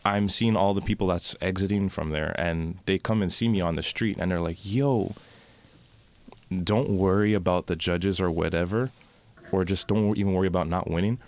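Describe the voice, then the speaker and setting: A man's voice: sits low, moderate pace. A young Canadian man telling stories as a guest in a casual interview, with loose, restarting sentences. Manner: relaxed storytelling with frequent restarts. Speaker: guest singer.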